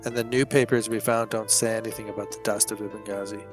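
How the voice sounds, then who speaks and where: A man's voice: fairly low pitch, level tone, quick pace, soft background music. A man taking part as a player in a tabletop roleplaying game, casually saying what his character does.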